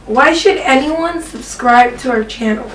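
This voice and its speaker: A young woman from Peru hosting a casual question and answer vlog, talking in a relaxed way with many fillers. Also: sits high, unhurried, roomy sound, far from the mic, a little hiss or hum, rough recording. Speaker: vlogger co-host. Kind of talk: casual Q and A chat.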